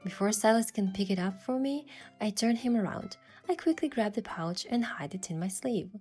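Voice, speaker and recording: An actress playing a playful, affectionate young heroine who softly narrates her own love story in a romance game. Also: medium pitch, lively intonation, normal speed, husky, faint music behind. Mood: soft narrating.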